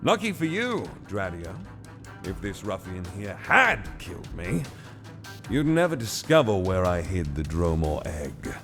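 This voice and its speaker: Flippant male thief, theatrical, sarcastic, extremely animated pitch, gravelly edges, audio drama. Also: unhurried, faint music behind, exaggerated voice. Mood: taunting. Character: cocky, slippery male master thief, flippant under threat.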